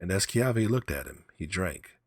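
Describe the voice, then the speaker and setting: A man narrating a scripted story as an audiobook reader, in a measured, deliberate manner. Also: low-pitched, lively intonation, no room sound, clean studio sound.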